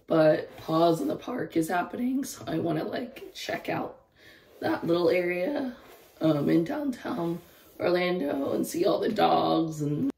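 Young woman, twenties, hoarse from losing her voice; casual rambling vlogger, slightly low pitch, camera held at arm's length. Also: warm tone, roomy sound, recorded from a distance. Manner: rambling, lots of "like" and "I don't know". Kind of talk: casual vlog chat. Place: car, bedroom and outdoor park. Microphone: phone or camera held at arm's length.

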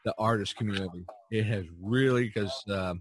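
A man hosting a podcast, chatting casually and haltingly about his work as an artist. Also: on the low side.

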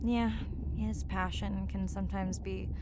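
An actress playing a warm, eager marine scientist and diver in natural video game dialogue. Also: female voice, medium pitch, steady tone, a little slow, noisy background, natural voice. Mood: warm, conversational. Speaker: voice actor. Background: music.

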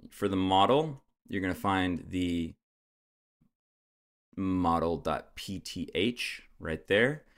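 A young man casually guiding viewers step by step through a software setup, with frequent "uh"s. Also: sits low, takes it slowly. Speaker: tech tutorial host. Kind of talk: screen-recorded software tutorial.

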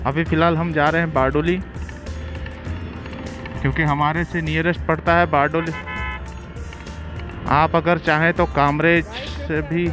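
A man's voice: fairly high pitch, flat delivery, a little slow, long pauses, music in the background. Chatty young man vlogging from a motorbike. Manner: chatty, addresses viewers as guys. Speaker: motovlogger. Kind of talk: casual vlog chat while riding. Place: on a motorbike on open roads, outdoors. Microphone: helmet-mounted phone.

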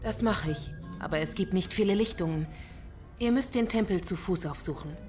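An actress playing a capable, alert young starship pilot in a game, matter-of-fact in manner. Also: female voice, lowish voice, moderate pace, music in the background, close to an everyday voice. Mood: focused. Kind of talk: game dub.

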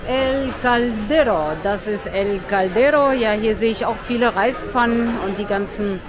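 Woman vlogger, slow unhurried speech, chatty tour narration, outdoors by the sea, busy crowd noise behind. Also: fairly high pitch. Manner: chatty, pointing out sights. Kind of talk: casual walking tour narration. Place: outdoor seaside promenade.

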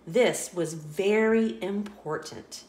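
A woman reading a children's picture book aloud in a playful, expressive storytelling voice, indoors. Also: medium pitch, takes it slowly. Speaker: storybook reader. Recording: roomy echo.

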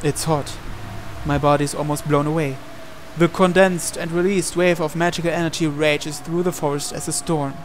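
A man's voice: on the high side, steady tone, normal speed, a little hiss or hum. A man reading a visual novel aloud as a game player, in dramatic storytelling narration.

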